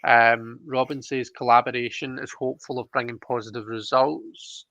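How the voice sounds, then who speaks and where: A man chats casually as a livestream host, his voice edged with fry. He is informal and a little hesitant. The sound is close and dry but slightly muffled. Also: mid-range voice, steady tone, moderate pace, fairly bright tone, no room sound. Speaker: host of a live tarot reading show. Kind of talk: casual livestream chat, reading out comments.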